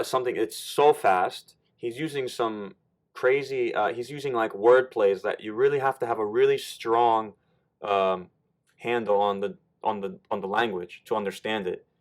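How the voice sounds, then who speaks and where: American male YouTuber, slightly low voice, casual rambling explainer. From United States. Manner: loose and rambling, restarting sentences. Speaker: YouTuber explaining German rap lyrics.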